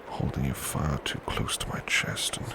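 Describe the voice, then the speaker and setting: A man playing a big, burly, devoted butler in a soft ASMR roleplay, murmuring in a breathy, polite, tender and protective way. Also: normal speed, noisy background, natural voice. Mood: drowsy, concerned. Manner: polite, formal, tender, reassuring.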